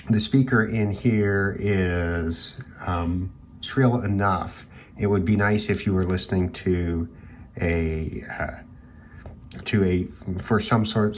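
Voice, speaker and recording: A man with a low-pitched voice talks through a product review informally. His delivery is measured and thoughtful, with hesitant pauses as he goes. Noticeable noise sits behind his voice. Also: darkish tone. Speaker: radio hobbyist reviewer. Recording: clearly noisy background hiss.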